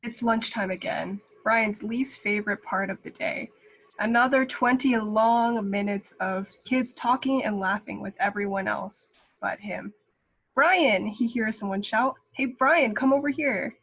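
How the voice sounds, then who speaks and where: A woman's voice: a little high, a little slow, fairly bright tone, breathy edge, low-quality recording. A woman reading a children's picture book aloud. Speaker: read-aloud reader.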